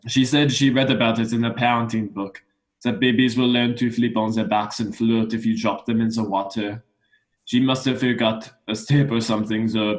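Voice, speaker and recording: A man's voice: on the low side, lightly acted. A young man playing a patient, explanatory father of small children in a radio play. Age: young adult.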